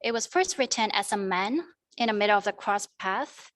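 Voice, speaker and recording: A woman's voice: mid-range voice, lively intonation, moderate pace, fairly bright tone, no echo, studio quality. A young woman giving a prepared academic presentation as a student panelist, in a formal, wordy manner. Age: young adult.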